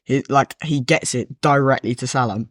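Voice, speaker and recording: A man's voice: medium pitch, moderate pace, a bit bright, no echo. A man with a mid-range voice makes his case earnestly, hesitating and filling gaps with "like". His voice is recorded dry and close. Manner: earnest, hesitant, many "like" fillers. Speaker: podcast guest. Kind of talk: casual football discussion.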